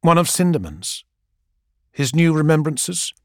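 Male narrator as a resigned, quiet woman leader; lively pitch, dry recording. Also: unhurried, no echo. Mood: weary. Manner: calm, quiet, weary.

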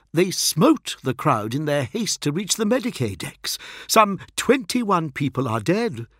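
Man voicing a deferential, foppish imperial envoy, lifted pitch. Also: no room sound, clean studio sound. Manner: smooth, formal, hesitant diplomatic phrasing. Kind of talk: dramatic audiobook character voice.

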